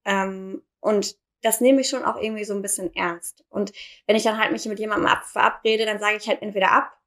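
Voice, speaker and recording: A woman's voice: medium pitch, steady tone, brisk, a bit bright. A young woman from Germany co-hosting a casual podcast, talking hesitantly with many fillers and self-corrections. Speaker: podcast co-host.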